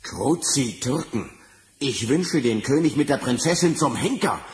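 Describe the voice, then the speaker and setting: A man's voice: medium pitch, expressive, unhurried, close to an everyday voice. A man playing a coarse, cursing royal coachman in a fairy-tale radio play. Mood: angry, grumbling. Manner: blustering, coarse. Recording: noisy vinyl record transfer.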